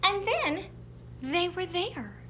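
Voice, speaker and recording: A woman teacher speaking to young children in an expressive storytime read-aloud style. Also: very high-pitched, takes it slowly, slight background noise.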